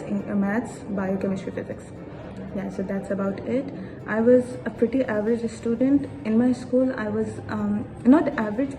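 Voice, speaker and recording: A 21-year-old woman raised in Saudi Arabia, introducing herself as a guest in a casual interview, with hesitant pauses and restarts and some Urdu mixed in. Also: mid-range voice, noisy background. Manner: hesitant, many fillers and restarts, mixing in Urdu. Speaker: interview guest, aviation student.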